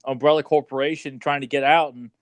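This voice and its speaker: A man with a mid-pitched voice talks casually as a podcast co-host. He is blunt and opinionated, often rambling, and the sound is close and dry. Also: fairly even intonation, fast talker, no echo. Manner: blunt, opinionated, mildly profane. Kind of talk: casual chat about video game movies.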